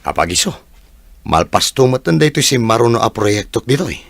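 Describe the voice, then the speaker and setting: A man's voice: mid-range voice, very animated, brisk, gravelly, a bit bright, dry recording, full character voice. A man with an Ilocano accent playing a matter-of-fact village construction worker in a radio drama.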